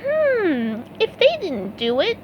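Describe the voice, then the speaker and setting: A woman voicing an eager, cheerful young male sea sponge in a storybook reading, high and excitable. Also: slow, muffled recording, slight background noise, full character voice. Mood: earnest.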